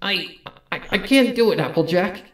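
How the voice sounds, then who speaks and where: A man's voice: sits high, expressive, moderate pace, a bit bright, soft background music, pitched above the performer's own voice. A man voicing an insecure, lovestruck female cartoon pony who speaks hesitantly and stammers. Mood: panicked self-doubt.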